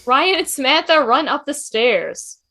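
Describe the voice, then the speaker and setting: Lady reading stage directions, high voice, very lively pitch, expressive. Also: unhurried. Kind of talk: scripted narration, table read. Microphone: video call.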